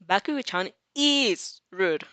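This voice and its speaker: A woman's voice: medium pitch, animated, slow, pushed and bright, no echo. A woman voicing a candid, unbothered teenage girl student in an audio fan fiction. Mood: deadpan scolding.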